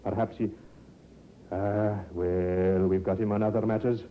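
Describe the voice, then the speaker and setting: Male actor as grave inspector, measured and certain, vintage radio crime drama, very narrow tinny sound with background noise. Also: on the low side, level tone, natural voice. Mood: grimly convinced. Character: grave, convinced male Scotland Yard police inspector. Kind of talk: 1950s radio drama acting. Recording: muffled, phone-like 1950s radio recording with noise.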